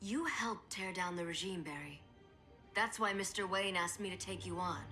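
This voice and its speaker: An actress playing a practical, firm but encouraging doctor and mentor in a video game. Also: female voice, fairly low pitch, normal speed, over music, natural voice. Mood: firm, persuasive.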